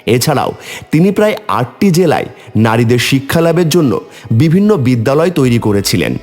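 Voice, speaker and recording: A man giving expressive scripted narration for a cartoon story. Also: medium pitch, moderate pace, in a large hall. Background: faint music.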